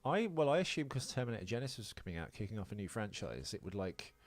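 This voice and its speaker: Low-pitched man, rambling podcast banter. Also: very animated, fast talker, no room sound. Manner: sweary, self-deprecating, rambling. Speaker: podcast co-host. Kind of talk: casual unscripted podcast banter.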